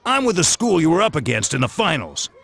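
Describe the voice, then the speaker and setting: Gravelly, pushy school recruiter. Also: male voice, a little high, wildly swooping pitch, fast, fairly bright tone, full character voice. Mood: eager, pushy. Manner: persuasive, informal. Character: pushy, slick male school sports recruiter. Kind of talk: anime video game voice acting.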